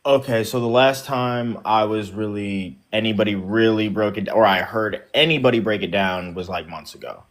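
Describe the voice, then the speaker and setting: A man's voice: lowish voice, moderate pace, hardly any pauses. A man who is a guest on a livestream debate, thinking aloud in a rambling, hesitant way full of fillers. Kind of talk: casual conversational debate.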